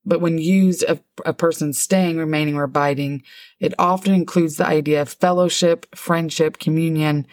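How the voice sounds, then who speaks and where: A middle-aged woman with a low, deep voice talks through a Bible word study at an easy pace. She lingers on definitions as she explains them. Her voice sounds close and dry, with no room echo. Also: fairly even intonation. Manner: warm, explanatory, reads definitions slowly. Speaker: podcast co-host, Bible teacher.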